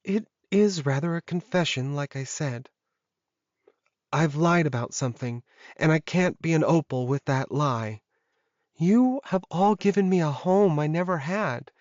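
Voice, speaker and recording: An earnest young werewolf woman from a romance audiobook, played by a man, speaks in a lifted, soft voice. She sounds vulnerable and imploring, with careful hesitation, recorded close and dry. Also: fairly high pitch, expressive, a little slow, no echo, muffled recording, voice pushed up. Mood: confessing, pleading.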